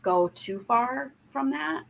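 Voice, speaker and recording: A woman talks casually as a guest on a video call, thinking out loud with plenty of fillers. Her voice is bright and sharp, with a gravelly fry. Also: high voice, animated, slow. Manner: talkative, thinks aloud with fillers. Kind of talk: casual chat.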